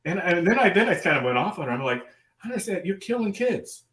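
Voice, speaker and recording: A man's voice: sits high, sing-song, fast talker, a bit bright, reverberant. An American man telling casual stories as an interview guest, rambling and sweary, with stammered repeats. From American Midwest. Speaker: guest artist. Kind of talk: casual anecdotal storytelling in a live interview.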